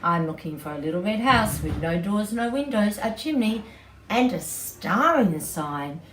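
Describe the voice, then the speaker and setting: A woman's voice: mid-range voice, lively intonation, measured pace, a bit bright. A woman voicing a polite, eager little girl from a children's story, pleading in simple phrases. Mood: hopeful. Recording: distant mic, roomy echo, background noise.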